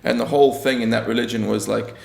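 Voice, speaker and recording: Very gravelly man in a roomy space. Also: medium pitch, steady tone, quick pace, a little mellow. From South Africa. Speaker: co-host. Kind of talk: casual, philosophical chat. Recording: roomy echo on voice.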